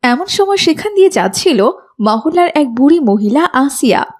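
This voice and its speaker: A woman's voice: sits high, big pitch swings, moderate pace, darkish tone. A woman reading scripted narration for a children's story as its narrator.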